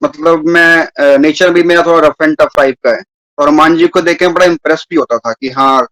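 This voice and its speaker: A man's voice: a little high, fairly even intonation, fairly quick, a bit bright, dry recording, rough recording. A man from New Delhi in his thirties, telling his personal story earnestly and at length as a guest on a video call. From New Delhi, India. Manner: earnest, rambling, mixes English words into speech.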